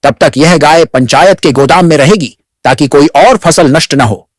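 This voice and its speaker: A man playing a grave, fair-minded village council head in a cartoon story, measured and authoritative. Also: fairly high pitch, no room sound, clean studio sound, natural voice. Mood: decisive. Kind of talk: Hindi animated moral story dialogue.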